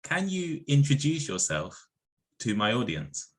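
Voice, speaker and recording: Clear, measured male podcast host. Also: mid-range voice, wildly swooping pitch, dry recording. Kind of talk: scripted intro then casual interview.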